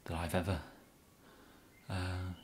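Young man, English accent, deep soft voice, slow halting mumble, polite bumbling kidnapper, ASMR roleplay. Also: level tone, a little hiss or hum. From England. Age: young adult. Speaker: ASMR performer. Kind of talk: ASMR comedy roleplay monologue, soft-spoken.

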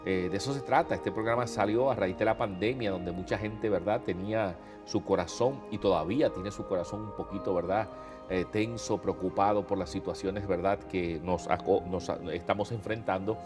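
A man in his fifties with a Puerto Rican accent speaks to his online flock, his voice nasal and congested after a nose procedure. He talks in a warm, rambling way, calling listeners beloved, in a fairly low voice. Music plays clearly underneath him. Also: fairly even intonation, normal speed. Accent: Puerto Rican Spanish. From Puerto Rico. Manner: warm, conversational, addresses viewers as beloved, frequent fillers. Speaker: pastor hosting an online devotional show. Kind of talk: casual devotional talk to viewers. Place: indoors before a holiday backdrop.

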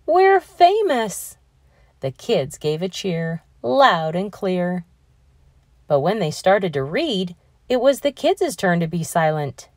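A woman reading a children's picture book aloud in an expressive, deliberate storytelling manner with emphatic stresses. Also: fairly low pitch, no echo, clean studio sound.

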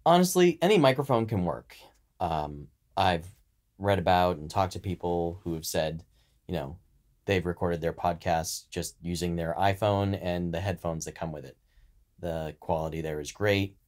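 Low-pitched guy on a podcast explaining stuff, chatty but teacherly. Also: moderate pace, a little mellow. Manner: conversational, instructional. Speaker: podcast co-host.

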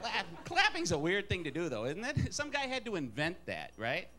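A man from Wisconsin doing stand-up in a comedy club, with a nasal Wisconsin accent and an animated delivery full of put-on voices. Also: high voice, normal speed. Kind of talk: stand-up set. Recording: live club with crowd and noise. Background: audience laughter.

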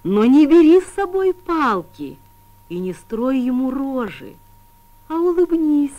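A woman voicing a gentle, wise mother raccoon in a cartoon, speaking in a soothing, patient, reassuring way. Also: high voice, sing-song, slow, highs cut off, full character voice. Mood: calm, encouraging. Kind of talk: Soviet animated cartoon acting.

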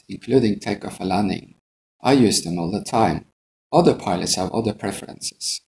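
Measured, gravelly male pilot narrator. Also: fairly low pitch, a little mellow, poor audio. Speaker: airline pilot instructor. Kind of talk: scripted instructional narration.

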